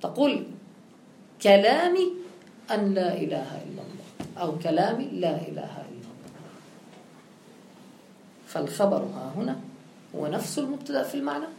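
A woman's voice: mid-range voice, big pitch swings, moderate pace, gravelly, pauses often, a little mellow, a little hiss or hum. A woman teaching grammar with a touch, explaining step by step and posing her own questions. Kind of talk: classical grammar lesson. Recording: echoey room with faint ambience.

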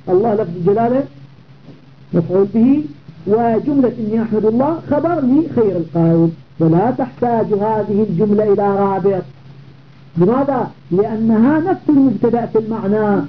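A man teaching a classical grammar lesson. He explains methodically, repeats key phrases and stops to check that students follow. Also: very high-pitched. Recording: tinny phone-like audio with faint noise.

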